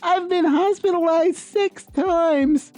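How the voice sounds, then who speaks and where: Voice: very high voice, slow, darkish tone, faint music behind, voice pushed up. A storyteller voicing an entitled, attention-seeking woman diner in a whiny, defensive, showy way.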